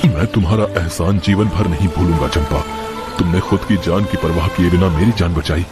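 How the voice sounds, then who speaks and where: A man playing a smitten, flattering film director from the city in a Hindi cartoon story. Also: low-pitched, normal speed, loud music behind the voice, lightly acted. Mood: grateful. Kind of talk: Hindi animated story voice acting.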